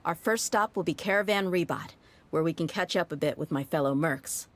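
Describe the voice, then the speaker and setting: A low-voiced tough mercenary. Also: female voice, brisk, slight background noise. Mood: steady. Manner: easygoing, blunt, matter-of-fact. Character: tough, blunt young female desert mercenary. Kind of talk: game voice acting, story dialogue.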